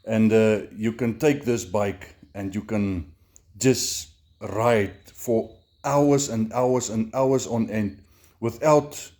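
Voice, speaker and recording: A middle-aged Namibian man with an Afrikaans accent, chatting casually in a self-made review, halting and repeating words with many fillers. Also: fairly low pitch, fairly even intonation, a little mellow. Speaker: motorcycle vlogger.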